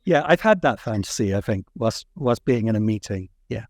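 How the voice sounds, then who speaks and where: A man's voice: lowish voice, expressive, normal speed, no echo. A man hosting a podcast, talking casually about films. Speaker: podcast host. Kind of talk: casual film discussion. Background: faint background music.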